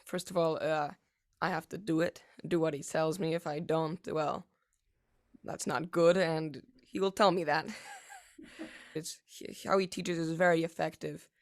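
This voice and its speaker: Teenage boy, gravelly, halting interviewee full of fillers. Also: a little high, steady tone. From United States. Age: teens. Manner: halting, many fillers and restarts. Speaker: young pianist interviewee. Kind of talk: casual interview answers.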